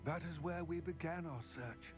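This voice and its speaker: Video game goblin played by a man: earnest, grave, articulate, slightly high male voice, music underneath. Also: close to an everyday voice. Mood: confiding, measured. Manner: formal, measured, articulate storytelling. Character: earnest, grave adult male goblin confiding old grievances. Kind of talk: scripted video game dialogue.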